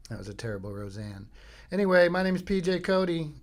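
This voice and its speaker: A man's voice: on the high side, big pitch swings, quick pace. A middle-aged man from Florida hosting his own podcast at home, talking in a rambling, jokey way and breaking into silly bits. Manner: jokey, self-interrupting, breaks into goofy sung bits and impressions. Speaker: podcast host. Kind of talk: rambling comedic monologue. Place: home studio.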